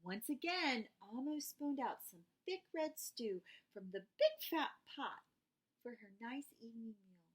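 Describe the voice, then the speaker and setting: A woman reading a children's picture book aloud expressively, with dramatic pauses and drawn-out phrases. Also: a little high, expressive, husky.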